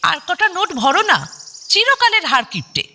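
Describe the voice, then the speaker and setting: A woman's voice: very high voice, huge pitch swings, normal speed, sharp tone, faint music behind, full character voice. A woman playing a dead wife who speaks from her portrait in a radio drama, needling, sulky and coaxing. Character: dead wife as talking portrait, teasing, sulky, doting mother. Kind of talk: Bengali radio drama acting.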